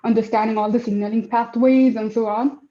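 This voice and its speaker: A woman's voice: fairly high pitch, steady tone, fairly quick, reverberant, dull audio. A woman in her twenties, originally from Afghanistan, answering interview questions in a hesitant way with many fillers and restarts. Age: 20s. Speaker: project manager, interview guest.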